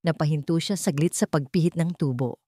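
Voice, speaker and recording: A woman with a Filipino accent reads a dramatic story as its narrator. Her voice sits slightly low. The sound is dry, with almost no room in it. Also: fairly quick, mellow, no room sound.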